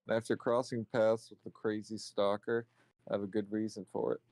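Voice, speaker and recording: A man reading visual novel narration aloud, halting at times and correcting his slips. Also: lowish voice, level tone, a little mellow, dry recording.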